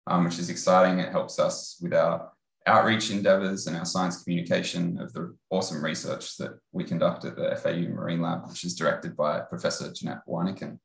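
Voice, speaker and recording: Australian man, 30s, very low voice, casual lecture, earbud mic, roomy echo. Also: gravelly. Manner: relaxed, conversational, with filler pauses. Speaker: postdoctoral researcher giving a talk. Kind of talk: online lecture presentation. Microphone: earbud headset on video call.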